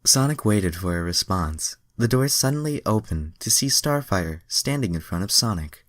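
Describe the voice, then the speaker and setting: A man's voice: on the low side, expressive, moderate pace, no room sound, studio quality. A man reading fan fiction aloud as an amateur narrator, breaking into irreverent, self-deprecating asides.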